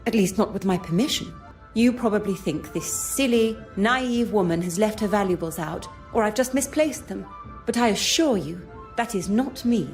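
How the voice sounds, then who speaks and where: A woman plays a proud young lady from a mystery game. She is polite yet firm and sure of herself, in a medium-pitched voice. Soft music runs faintly behind. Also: moderate pace, close to an everyday voice. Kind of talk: scripted game dialogue.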